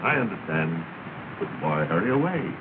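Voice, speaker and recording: A kindly, well-to-do gentleman who speaks with courteous, measured care. His low voice rises and falls with lively expression. It comes through a thin, hissing early-sound film track. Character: Mr. Blair, kindly wealthy older gentleman. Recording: tinny, hissy 1930 film soundtrack.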